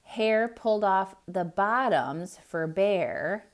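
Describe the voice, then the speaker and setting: A woman reading a picture book aloud to children, pausing to point things out and ask them questions. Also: medium pitch. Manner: slow, expressive, asks children questions. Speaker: children's storyteller.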